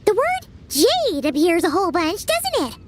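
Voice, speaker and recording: A woman's voice: moderate pace, noisy background, full character voice. A woman voicing a tiny, chirpy female fairy companion, eager and curious, in a video game. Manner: bubbly, excitable, very high-pitched. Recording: game dialogue under music.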